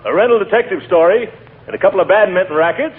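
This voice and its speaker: A man's voice: sits high, fast talker. An actor playing a pompous, blustery, big and fussy male neighbor in a 1940s radio comedy. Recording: tinny old radio broadcast with hiss.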